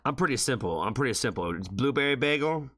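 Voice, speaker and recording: A man hosting a casual music podcast, excitable and full of stammering restarts and the odd swear word. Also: mid-range voice, fast. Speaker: podcast host. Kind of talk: casual chat.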